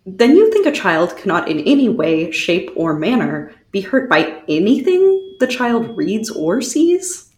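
A woman's voice: medium pitch, sing-song, a little slow, darkish tone. A woman playing an insistent, formal chief counsel questioning a witness in an amateur dramatic reading, recorded with a roomy echo. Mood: pressing. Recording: roomy, echoing sound.

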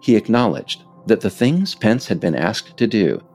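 An American man reading a scripted narration as its author, in a measured way with emphasis on key phrases. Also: on the low side, sing-song, a little mellow, soft background music. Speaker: author narrating own work.

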